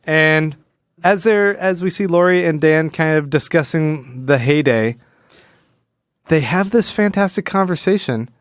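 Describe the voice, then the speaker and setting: A man co-hosting a podcast, casually discussing comics in a halting way, repeating words as he goes. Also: fairly high pitch, no echo, studio quality. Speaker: podcast co-host.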